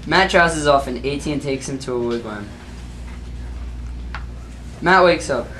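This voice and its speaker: A woman's voice: very deep voice, lively intonation, measured pace, a bit bright. Woman reading a story aloud. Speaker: narrator. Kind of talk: student narration read aloud. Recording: noisy amateur recording.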